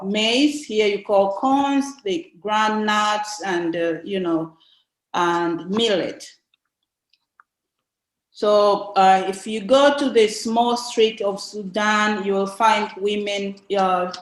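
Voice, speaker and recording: Female presenter, Tanzanian accent, mid-pitched voice, unhurried and halting informative delivery with frequent pauses. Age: young adult. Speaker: invited speaker, nun. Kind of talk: informative slide presentation talk. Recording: echoey, roomy sound.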